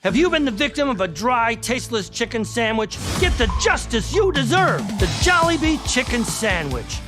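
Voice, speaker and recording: A man pitches food in an advert with a very high voice. His delivery is theatrical and emphatic, swinging in pitch over music. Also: sing-song, normal speed. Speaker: commercial voice-over announcer. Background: music bed.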